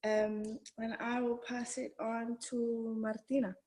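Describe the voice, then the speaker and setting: Uk-raised woman, a bit high, soft mellow tone, flat-ish, thoughtful intro. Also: slow. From United Kingdom. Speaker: course participant. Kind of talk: self-introduction on a group video call. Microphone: video call.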